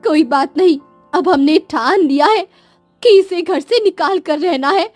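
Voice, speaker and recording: Woman acting high-voiced, scornful, scheming in-law. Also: measured pace, a little mellow, dry recording, faint music behind. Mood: suspicious. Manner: haughty, scornful. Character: scheming, scornful mother-in-law and spiteful daughters. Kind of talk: cartoon moral story acting.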